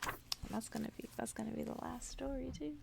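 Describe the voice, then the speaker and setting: A woman softly reading a bedtime story in an ASMR style, hushed and gentle, slipping into whispers. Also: a little high, steady tone, brisk, creaky, noisy background. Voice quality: soft, partly whispered. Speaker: ASMR narrator.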